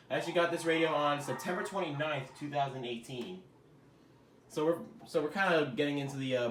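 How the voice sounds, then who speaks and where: A young man with an ordinary middle-pitched voice talks loosely and unscripted, wandering off topic and swearing under his breath now and then. A faint hiss sits under the recording. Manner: laid-back and rambling, with mild swearing. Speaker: radio collector showing his collection. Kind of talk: casual rambling product demo.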